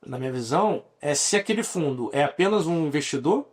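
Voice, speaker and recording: A man's voice: fairly high pitch, animated, brisk, breathy edge. A Brazilian man in his forties, a lawyer guest on a podcast, speaking with a Brazilian Portuguese accent and explaining at length with frequent restarts. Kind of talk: podcast interview.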